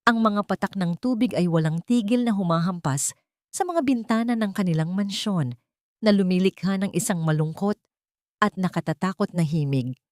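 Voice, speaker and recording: A woman narrating an audio drama in a measured, dramatic storytelling manner. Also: medium pitch, animated, a little mellow, no echo, studio quality.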